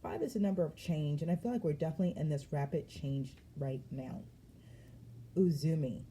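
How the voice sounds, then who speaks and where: Woman tarot reader, low dark voice, slangy and emphatic, faint background noise. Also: a little fast. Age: adult. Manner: lively, slangy and emphatic, with frequent laughs. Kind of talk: casual spiritual reading vlog.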